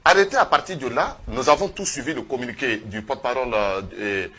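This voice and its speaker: A man giving impassioned testimony as a guest in a television studio, speaking emphatically and haltingly, often repeating phrases. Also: medium pitch, pushed and bright. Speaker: activist guest on a TV panel.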